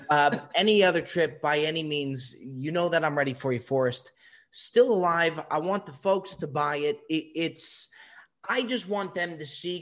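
A man's voice: on the high side, fairly bright tone. A man hosting a podcast, talking in an emphatic, earnest and teasing way in casual chat. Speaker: podcast host.

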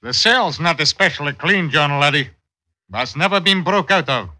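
An actor playing a stingy, callous jailer who bosses people about in folksy dialect, in old-time radio drama style. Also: male voice, on the high side, expressive, moderate pace, pushed and bright, a little hiss or hum. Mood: gruff, ordering. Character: stingy, callous jailer bossing his wife.

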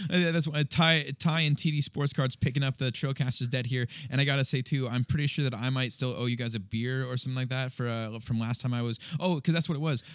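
A man's voice: mid-range voice, a little fast, dry recording, studio quality. A man in his thirties hosting a casual sports podcast, bantering easily and laughing often. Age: 30s. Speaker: podcast host.

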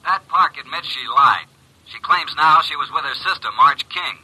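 An actor playing a brash, skeptical private detective, blunt and wisecracking, in an old radio drama. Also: male voice, fairly high pitch, moderate pace, bright, forceful tone, breathy edge, full character voice, rough recording. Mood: brisk reporting.

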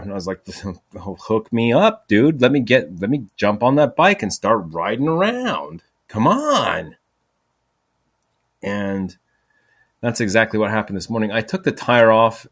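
Rambling self-mocking man in his forties. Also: on the low side. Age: 40s. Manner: chatty, profane, self-mocking, drifts off topic. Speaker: podcast host. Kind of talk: rambling casual storytelling.

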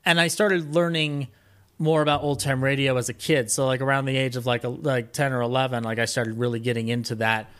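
A man with a mid-pitched voice talks casually as an interview guest. He rambles through his recollections in a halting way, often doubling back and rephrasing in the middle of a sentence. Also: level tone, a bit bright. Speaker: podcast guest. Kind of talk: casual conversational interview, reminiscing.

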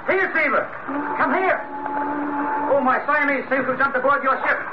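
A man's voice: very high-pitched, normal speed. An actor playing an anxious man in a 1940s radio drama, calling out with polite but insistent urgency. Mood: urgent, pleading. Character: anxious man calling after his runaway cat. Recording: muffled, noisy old radio recording.

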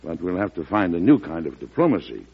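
An actor with an American accent playing a shrewd, genial, avuncular president in an old-time radio drama, in a deliberate storytelling manner. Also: male voice, low-pitched, very animated, slightly rough, exaggerated voice. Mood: wry, confiding. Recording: dull lo-fi vintage radio broadcast.

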